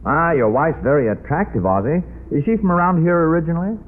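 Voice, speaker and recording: An actor playing a hearty, forgetful husband in an old-time radio comedy, effusive and apologetic in manner. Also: male voice, on the high side, big pitch swings, fast talker. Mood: genial. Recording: muffled, hissy old radio broadcast.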